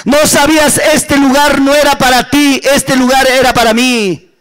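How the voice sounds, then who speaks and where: Preacher man as high-pitched gloating Satan. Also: little pitch movement, moderate pace, a bit bright. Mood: mocking, gloating. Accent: Andean Spanish. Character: gloating, mocking male Satan rising from hell.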